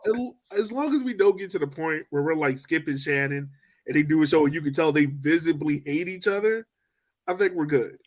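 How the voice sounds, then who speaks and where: A man's voice: on the high side, brisk. A man hosting a casual sports podcast, upbeat and loose, laughing easily with his co-hosts. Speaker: podcast host.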